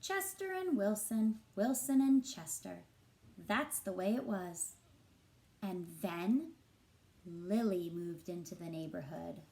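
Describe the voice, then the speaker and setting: A woman reading a picture book aloud to children as a teacher, in an expressive, deliberate and engaging way. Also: mid-range voice, vocal fry. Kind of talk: expressive storybook narration for children.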